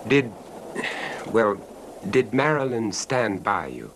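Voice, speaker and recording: An actor playing a probing, measured male listener drawing out a confession in an old time radio drama. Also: sing-song, heavily acted. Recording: noisy old radio recording, rumbling background.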